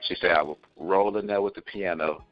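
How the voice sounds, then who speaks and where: A man's voice: low voice, fairly even intonation, a little fast, lo-fi sound, soft background music. A man hosting an interview series, enthusiastic and telling stories from his own childhood. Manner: enthusiastic, storytelling. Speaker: interview host. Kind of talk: casual interview chat.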